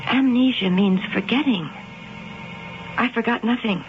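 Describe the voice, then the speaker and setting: A woman's voice: on the high side, unhurried, natural voice. Actress as cool, mysterious cat woman, radio mystery, muffled broadcast with music. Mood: enigmatic. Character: mysterious cat-keeping woman claiming no past. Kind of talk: 1970s radio drama acting.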